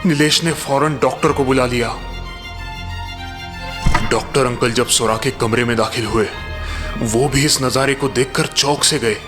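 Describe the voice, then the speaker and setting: A man's voice: mid-range voice, fairly even intonation, a little slow, long pauses, over music. A man narrating scripted moral and horror stories in a dramatic storytelling style.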